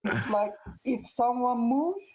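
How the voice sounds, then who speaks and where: A man from Turkey, a Turkish native speaker, chatting casually as a guest after a chess match, halting and full of fillers. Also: extremely high pitch, animated, a little mellow, poor audio. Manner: halting, many fillers and restarts. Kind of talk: casual post-match interview.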